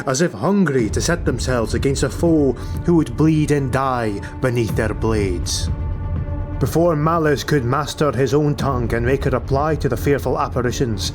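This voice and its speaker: A man narrating a fantasy audiobook in a measured, theatrical, dramatic style. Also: mid-range voice. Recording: music behind the voice. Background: music.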